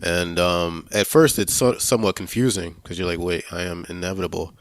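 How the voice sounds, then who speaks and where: A man hosting a casual film podcast, talking in a halting way, searching for words and swearing freely. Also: deep voice, fairly even intonation, no room sound. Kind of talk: casual movie review chat.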